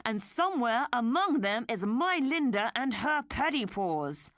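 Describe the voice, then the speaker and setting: A woman's voice: a little high, very animated, unhurried, a bit bright, dry recording, clean studio sound, voice pushed up. A woman voicing a shaken, grim father who speaks tensely.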